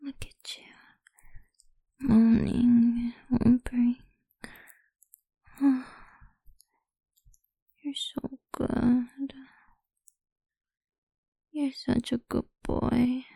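A woman speaking in a soft, coaxing, mostly whispered voice for an intimate ASMR roleplay. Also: on the high side, level tone, extremely slow, vocal fry, pauses often, breathy edge, dry recording.

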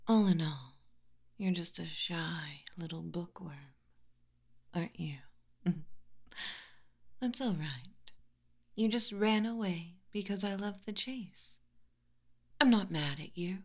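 A young woman voicing a teasing, commanding female spirit with a tail who keeps a library, speaking in a soft whisper with low laughs. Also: slow, pauses often. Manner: mocking, playful, commanding. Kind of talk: whispered audio roleplay.

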